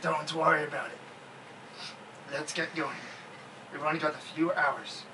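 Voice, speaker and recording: A brisk leader of thieves played by a man gives orders in a bright, forceful, fairly high voice. It is an audio drama rehearsal, picked up from a distance. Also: steady tone. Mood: brisk, commanding. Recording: distant mic, faint room noise.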